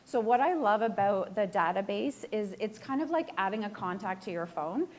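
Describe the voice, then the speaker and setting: Hoarse chatty woman giving a talk. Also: medium pitch, expressive, moderate pace. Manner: chatty and self-deprecating, asks the room questions. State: hoarse, borderline losing her voice. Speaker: real estate agent giving a talk. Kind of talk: casual live presentation to a room.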